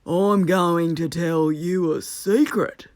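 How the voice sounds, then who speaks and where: Woman voicing a slow, emphatic, grave old badger. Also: lowish voice, fairly even intonation, darkish tone, no echo. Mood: encouraging, secretive. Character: gruff, grave, encouraging old male badger. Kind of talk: children's storybook character reading.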